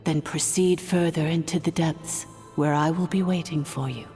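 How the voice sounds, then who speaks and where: A serene, commanding ancient priestess from a video game, played by a woman. The voice is fairly low and wrapped in long reverb, stately and formal, with faint music behind it. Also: steady tone, moderate pace, warm tone, natural voice. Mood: calm instruction.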